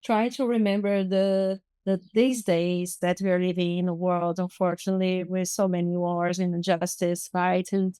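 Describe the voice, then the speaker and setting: A woman's voice: fairly low pitch, little pitch movement, moderate pace, few pauses, dark tone, clear, resonant tone, dry recording. A woman from Brazil, a native Portuguese speaker with a Brazilian Portuguese accent, talking thoughtfully as a podcast guest and searching for her words. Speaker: guest artist and activist. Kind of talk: podcast interview.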